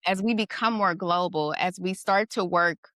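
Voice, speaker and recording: A woman's voice: lowish voice, moderate pace, a bit bright, dry recording, clean studio sound. A middle-aged woman hosting a casual conversation, thoughtful and questioning, often adding "you know" and "right". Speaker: podcast host.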